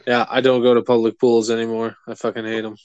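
A man co-hosting a casual podcast, rambling and animated, swearing freely. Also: medium pitch, quick pace, smooth, no room sound, dull audio. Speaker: podcast co-host. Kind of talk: casual chat.

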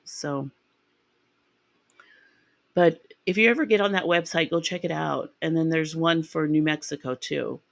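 A woman hosting a true-crime podcast, her voice raspy from a sinus infection, chatty and rambling with ums and restarts. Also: low voice, a little slow, long pauses, dry recording. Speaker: podcast host.

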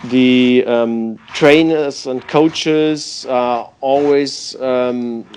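A man, a youth football coach, answering interview questions in halting English with many pauses. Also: medium pitch, steady tone, a little mellow, a little hiss or hum.

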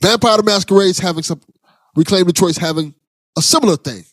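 Man, podcast host, somewhat high pitch, enthusiastic, encouraging, casual chat, dry sound. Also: fairly quick, a bit bright, no room sound.

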